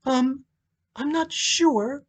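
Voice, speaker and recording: A man reading a novel aloud, voicing a shaken, hesitant newly widowed woman in halting phrases. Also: very high voice, pitched above the performer's own voice, full character voice. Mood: dazed. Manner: halting, faltering. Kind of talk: audiobook-style dramatic reading.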